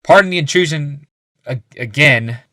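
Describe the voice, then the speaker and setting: Guy doing young healer dude, raised pitch, matter-of-fact, a bit smug, fantasy audiobook. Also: moderate pace, sharp tone, no echo. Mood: dryly dutiful. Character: young male healer, flat, dutiful, faintly smug.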